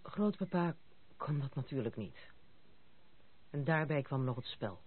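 A general's young granddaughter, direct and wry, speaking with disillusioned frankness. It is radio drama acting, captured on a tinny, narrow-band old recording. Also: female voice, very deep voice, animated, measured pace, breathy edge, full character voice. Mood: disillusioned, confiding.

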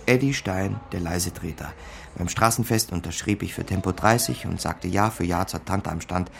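An actor playing a smooth, glib small-time con man narrating his capers in a German radio crime drama. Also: low voice, moderate pace, a little hiss or hum. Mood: dry, self-satisfied. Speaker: narrator and lead character.

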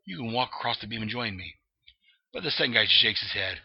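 A man's voice: on the low side, expressive, fairly quick, vocal fry, breathy edge, no echo, heavily acted. A man reading aloud as a deranged male clown villain telling a joke, in a lilting, playful way. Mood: gleeful. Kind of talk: reading comic dialogue aloud. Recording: dull lo-fi dry recording.

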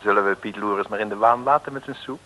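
Low-voiced, mock-solemn radio narrator. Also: male voice, fast, bright, forceful tone. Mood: wry. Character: wry, mock-solemn male storyteller. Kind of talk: scripted narration, 1950s radio comedy. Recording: old, slightly noisy radio recording.

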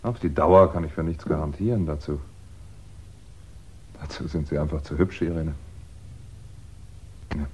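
An actor playing an uneasy, wry crime writer under suspicion in a 1960s radio drama, speaking in a reflective, hesitant way. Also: male voice, rumbling low, steady tone, measured pace, long pauses, darkish tone, a little hiss or hum. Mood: wry, resigned. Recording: dull, band-limited 1960s radio recording.

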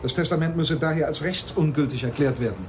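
Actor as a higher-voiced, sceptical male lawyer. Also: fast, noisy background, natural voice. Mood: accusing. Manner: interrogating, dry. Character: sceptical, businesslike male lawyer, dryly mocking. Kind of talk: German radio drama acting.

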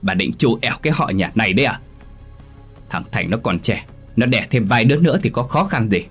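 Man voicing a stern, angry, low-voiced patriarch. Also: animated, normal speed, fairly bright tone, faint music behind. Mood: furious. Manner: curt, commanding, scolding. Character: stern, hot-tempered patriarch father-in-law, middle-aged or older.

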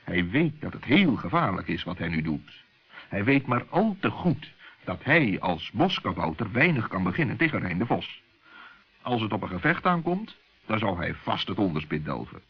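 A man narrating a children's radio story in an expressive storytelling style with a husky voice. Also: lowish voice, moderate pace. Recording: muffled old radio play recording.

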